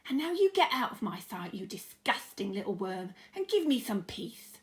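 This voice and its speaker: A woman voicing a spiteful, vain, bossy aunt from a storybook, sharp and snappish. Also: mid-range voice, sing-song, normal speed, lightly acted. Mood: angry, scolding.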